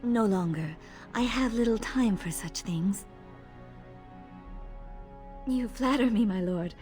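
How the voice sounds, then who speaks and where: A woman playing a modest, gentle elven lady-in-waiting in a video game, speaking politely and deferentially. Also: fairly high pitch, slow pace. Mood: guarded, wistful. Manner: polite, deferential, soft-spoken. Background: music.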